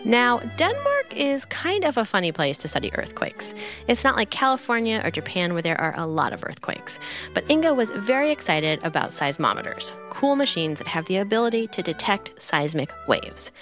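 A woman's voice: mid-range voice, big pitch swings, moderate pace, faint music behind. A woman host giving scripted science narration for kids, explaining with playful comparisons. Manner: bright explainer, playful analogies. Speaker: podcast host.

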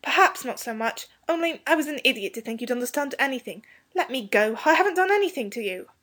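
Storybook schoolboy, acted by a woman, high voice, eager, earnest, slangy, flustered but polite. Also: animated, fast talker, a bit bright. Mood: desperate, indignant. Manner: earnest, boyish slang, stumbling over words. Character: eager, hasty young English schoolboy, flustered but courteous. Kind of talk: storybook character dialogue.

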